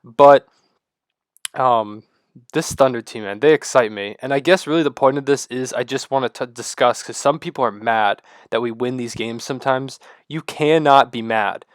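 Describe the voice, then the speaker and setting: A man with a stuffy, congested voice and low energy, rambling excitedly to the camera at home. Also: moderate pace, smooth, no echo, studio quality. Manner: loose, rambling, excited fan talk with many fillers. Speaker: sports vlogger. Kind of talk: casual rambling talk to camera.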